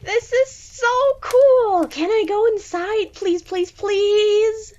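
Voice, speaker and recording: Woman voicing an excitable high-pitched monster kid. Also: slow pace, dull audio. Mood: thrilled, pleading. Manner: enthusiastic, exclamatory, slangy. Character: excitable young monster kid, eager and friendly. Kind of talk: audio drama acting.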